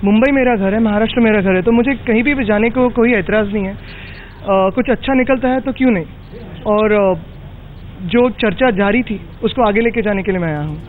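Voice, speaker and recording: A man's voice: sits high, brisk, a little hiss or hum. A man answering a reporter's question in an earnest, explaining manner. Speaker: interviewee, politician. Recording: tinny phone-like field audio.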